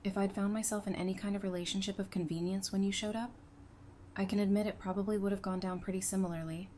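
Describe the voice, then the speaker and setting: A woman's voice: medium pitch, level tone, fast talker, mellow, lightly acted. Actress as a candid, casual woman, noisy background. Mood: tender, confessional. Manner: casual, frank, conversational. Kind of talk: fan audio drama acting.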